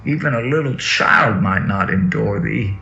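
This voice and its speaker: A man with a very low, creaky voice recites scripture in a slow, solemn and theatrical way. His tone is forceful and bright-edged, with drawn-out, incantatory phrases that swell for emphasis. The recording is a little dull, with music behind him. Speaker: reader. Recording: dull lo-fi audio with music underneath.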